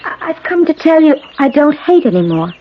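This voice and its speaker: An actress playing a desperate, pleading young woman in an old radio drama, earnest and haltingly emotional. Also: high-pitched, big pitch swings. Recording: muffled old radio broadcast, faint noise.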